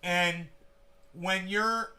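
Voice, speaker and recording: A man's voice: sits high, steady tone, extremely slow, sharp tone. Rambling, cursing man host. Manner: rambling, swearing, joking. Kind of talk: casual livestream chat.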